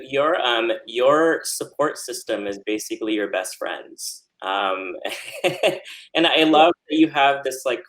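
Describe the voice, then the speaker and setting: A man's voice: fairly low pitch, low-quality recording. A man moderating a remote panel over a video call, asking friendly, open questions. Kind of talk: hosting a panel.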